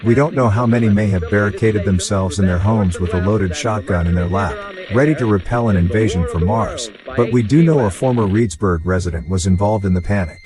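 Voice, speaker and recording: A man's voice: on the low side, brisk, few pauses, a crowd in the background. An American man reading scripted documentary narration as the narrator of a local history program.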